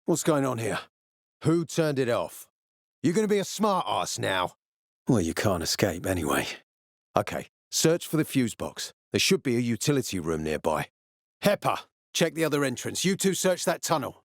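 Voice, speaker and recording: An actor playing a tough, suspicious peacekeeper commander in a video game, terse, sardonic and threatening. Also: male voice, mid-range voice, sing-song, normal speed, no room sound, clean studio sound. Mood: commanding. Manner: terse, menacing, sardonic, profane. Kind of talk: video game character dialogue.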